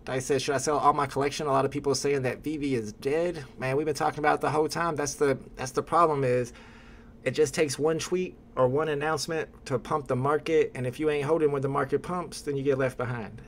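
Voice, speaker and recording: A man's voice: mid-range voice, steady tone, quick pace, fairly bright tone, slight background noise. A young man hosting a casual livestream from a room at home, chatting with a guest and reading viewer comments aloud. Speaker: livestream host.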